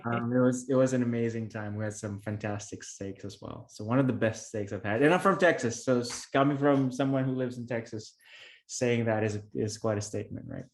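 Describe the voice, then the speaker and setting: Filipino man, middle-aged, interview guest; earnest, halting delivery, roomy echo. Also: mid-range voice. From Philippines. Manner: earnest, many restarts and fillers. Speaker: podcast guest, real estate investor. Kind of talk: conversational interview storytelling. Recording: echoey room.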